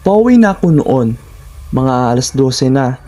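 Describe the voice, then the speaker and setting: A man's voice: mid-range voice, very animated, unhurried, dark tone. A man with a Filipino accent giving a scripted first-person narration of horror stories.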